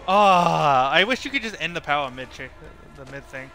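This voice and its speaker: A man's voice: fairly high pitch, very animated, quick pace, bright, forceful tone, soft background music. A man doing casual live gameplay commentary as a streamer, rambling and thinking aloud. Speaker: video game streamer.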